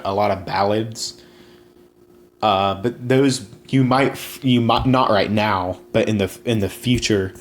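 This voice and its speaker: A man's voice: fairly low pitch, a little hiss or hum. A young man hosting a casual solo podcast, rambling with many fillers and restarts. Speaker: podcast host.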